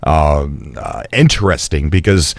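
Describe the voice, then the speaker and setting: A man's voice: very deep voice, big pitch swings, a little slow, vocal fry, no room sound. A man hosting a gaming interview show, eager and stumbling over his words. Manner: enthusiastic, stumbling restarts. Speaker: show host.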